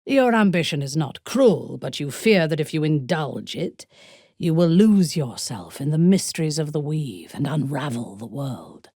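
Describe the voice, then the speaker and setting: An actress playing a cold, ruthless, haughty drow commander in a video game, seductive and menacing, with a husky, breathy voice. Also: female voice, low voice, very animated, measured pace, mellow, no room sound, studio quality, heavily acted. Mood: intimate, seductive. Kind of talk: dramatic video game character dialogue.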